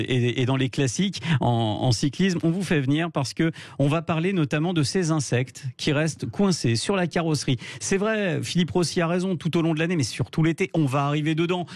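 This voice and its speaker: A man co-hosting a radio show, talking in an outspoken, indignant way with quick asides. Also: on the high side, dry recording, clean studio sound. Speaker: radio co-host. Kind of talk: lively radio chat. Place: radio studio. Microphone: studio microphone.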